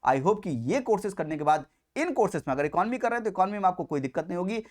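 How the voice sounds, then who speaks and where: An Indian man delivers an exam-coaching lecture. His intonation swings up and down in a very animated way. He stresses and repeats key points, moving between Hindi and Indian-accented English. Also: sits high, very fast.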